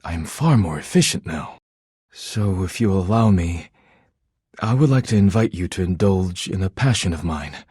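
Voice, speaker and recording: A solemn male artisan from a video game, with a low and very husky voice. He chooses formal, poetic words and speaks with measured, romantic earnestness. The sound is close and dry. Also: warm tone, no echo, full character voice. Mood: reserved, reflective. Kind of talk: video game character dialogue.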